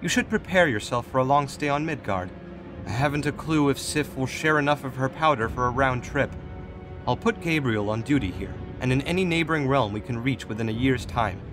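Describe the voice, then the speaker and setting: A man playing a composed, calculating god in authority, speaking in a measured, formal, diplomatic way in a fantasy audio drama. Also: medium pitch, animated, over music, natural voice. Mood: authoritative, pragmatic. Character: Raphael, composed, calculating male god in authority, gently chiding.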